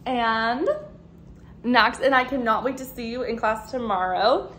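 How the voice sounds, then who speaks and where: A woman in her thirties, a teacher, reading a picture book aloud to young children in an expressive, animated way. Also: a little high, unhurried, slight background noise. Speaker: second grade teacher. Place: living room at home, indoors.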